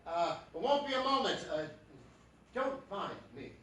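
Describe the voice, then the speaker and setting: An actor playing a blustery secret millionaire posing as a humble lodger, hesitant and quick to bluster, in old-time radio comedy style. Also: male voice, high voice, very animated, fairly bright tone, recorded from a distance, full character voice. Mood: evasive, flustered. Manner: indignant, hesitant, stammering excuses. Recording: echoey theatre stage recorded from afar, noisy.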